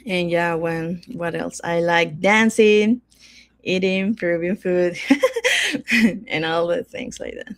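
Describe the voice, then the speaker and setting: A woman's voice: lowish voice, slow pace, dry recording. A woman from Peru, a Spanish speaker, co-hosting a live Q&A in a cheerful, giggly way. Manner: cheerful, giggly, warm greetings. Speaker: co-host, marketing staff. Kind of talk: casual livestream Q&A chat.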